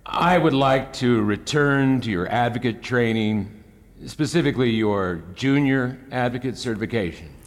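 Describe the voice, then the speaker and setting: A man's voice: medium pitch, moderate pace, lightly acted. A man playing a smug, probing senator in an audio drama, formal, pointed and elaborately polite. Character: smug, probing male senator questioning a witness. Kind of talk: fiction podcast audio drama. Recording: echoey hall with long reverb.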